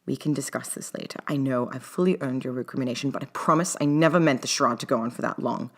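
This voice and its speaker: A woman's voice: a bass voice, fast talker. Woman reading a stuffy proud professor guy in an audiobook, formal and clipped, kinda biting. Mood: quietly contrite. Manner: formal, clipped, stiffly polite. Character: stiff, proud, acerbic male academic. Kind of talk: dramatic fiction reading.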